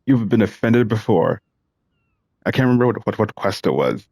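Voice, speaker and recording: A woman's voice: a bass voice, lively intonation, moderate pace, dark tone. A woman giving casual, offhand commentary while playing through a video game, chatty and conversational. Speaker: game streamer. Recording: faint game music behind.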